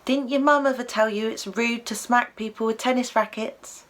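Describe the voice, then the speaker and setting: A woman's voice: on the high side, fairly even intonation, moderate pace, voice pushed up, close to an everyday voice. A woman voicing a brash, cheeky male toy llama with a Cockney accent for a storybook. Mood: indignant. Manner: loud, bold, streetwise, familiar. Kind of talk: acted storybook character voice.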